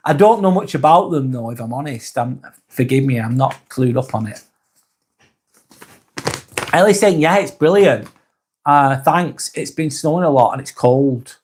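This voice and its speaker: A man from Lancashire with a Lancashire accent, hosting a casual live stream and reading viewer comments aloud in a soft, hesitant way. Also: mid-range voice, lively intonation, moderate pace, long pauses, a little hiss or hum. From Lancashire, England.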